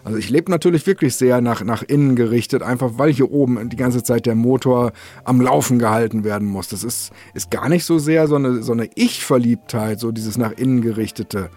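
A man's voice: medium pitch, a little fast, a little mellow, no echo. A man hosting a casual podcast, talking in a rambling, self-deprecating way that jumps from thought to thought. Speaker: podcast host.

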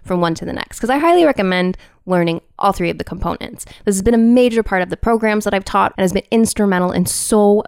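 A young adult woman hosting a podcast, chatty and casual, saying "like" a lot, slightly raspy from a cold. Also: mid-range voice, a little mellow, dry recording, studio quality. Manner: chatty, uses "like" a lot, self-deprecating. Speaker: podcast host.

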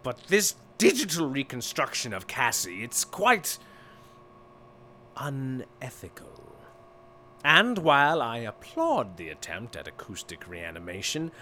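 A man's voice: medium pitch, huge pitch swings, slow pace, bright, forceful tone, a little hiss or hum, heavily acted. A man playing a mock-polite, condescending captor in an audio drama, with genteel, wordy phrasing. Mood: mock-polite disdain. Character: suave, mock-polite male captor, drily disdainful.

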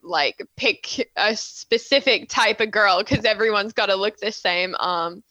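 A woman's voice: mid-range voice, unhurried, sharp tone, no room sound, rough recording. A woman in her twenties with an Australian accent tinged with Canadian, chatting as an interview guest, laughing often between fillers. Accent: Australian-Canadian blend. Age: 20s. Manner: giggly, chatty, many fillers. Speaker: guest, synchronized swimmer. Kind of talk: casual interview chat.

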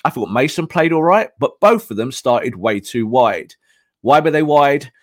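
A hoarse man analyzing football tactics on a podcast, emphatic and repeating his points. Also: mid-range voice, lively intonation, normal speed, no room sound, clean studio sound.

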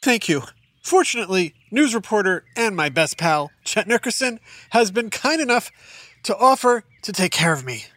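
A woman's voice: medium pitch, big pitch swings, moderate pace, a bit bright, no room sound. A woman voicing a friendly kids' show narrator with a stuffed-up nose from a bad cold, quizzing listeners, recorded dry in a studio. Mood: stuffy. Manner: quizzing kids with multiple-choice questions.

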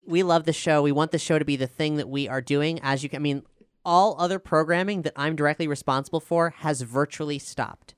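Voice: mid-range voice, fairly quick, smooth, dry recording, studio quality. A podcast host and showrunner speaking earnestly and articulately while making announcements and talking about a production.

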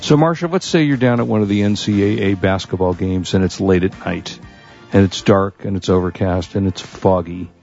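A man's voice: low-pitched, moderate pace, gravelly, lo-fi sound, music in the background. An American man co-hosting a radio show in casual banter, with a jokey, teasing manner.

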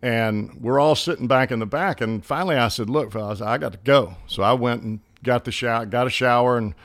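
Man, Southern country accent, middle-aged, folksy, chuckling storyteller, average pitch. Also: a little fast, a bit bright. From Southern United States. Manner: folksy, chuckling, homespun sayings. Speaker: guest, former ballplayer. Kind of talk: casual interview storytelling.

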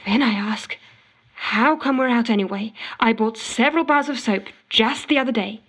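A woman's voice: fairly high pitch, moderate pace. An actress playing an exasperated, frantic office worker, telling her woes melodramatically in an audio drama voicemail monologue. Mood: indignant, fed up. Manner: melodramatic, indignant storytelling with sarcastic quoting. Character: exasperated, frantic office woman ranting to a colleague.

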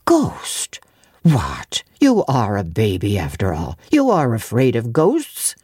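A woman as brisk, low-voiced gentleman apothecary. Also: wildly swooping pitch, no room sound, clean studio sound, heavily acted. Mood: teasing, skeptical. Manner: questioning, gruffly teasing. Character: brisk, skeptical, good-natured male country apothecary.

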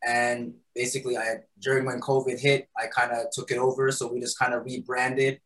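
A man's voice: medium pitch, level tone, a little fast, fairly bright tone, low-quality recording. Easygoing man chatting. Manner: loose and conversational, many fillers and "right?". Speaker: guest, patty shop founder. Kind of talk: casual interview answers. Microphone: recording studio mic.

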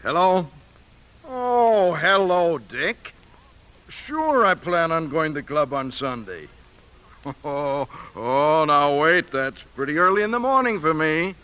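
Jovial high-voiced American bank manager. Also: male voice, lively intonation, slow, pushed and bright, smooth, full character voice. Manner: hearty, easygoing. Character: jovial, chatty male bank manager, golf enthusiast. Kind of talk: 1950s radio drama acting. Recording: faintly noisy 1950s radio broadcast.

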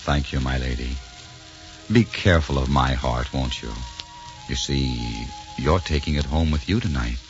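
A man's voice: extremely low pitch, unhurried, over music. An actor playing a suave, ardent French nobleman in a courtly, theatrical 1940s radio romance. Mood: tender, romantic. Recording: muffled old 1940s radio recording.

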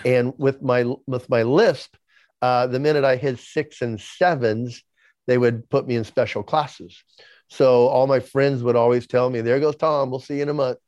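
A man in his sixties with a noticeable lisp tells his story at length in an interview, stumbling over his first words before finding his thread. The recording is dry and slightly muffled, with little high end. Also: steady tone, normal speed, darkish tone, no echo. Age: 60s. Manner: repeats words when starting sentences. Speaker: podcast guest.

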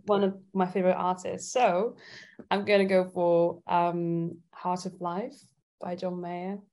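A woman's voice: fairly low pitch, level tone, measured pace, dark tone. A woman from Italy in a casual interview as a guest, thinking aloud and hesitating as she answers. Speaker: guest singer-songwriter.

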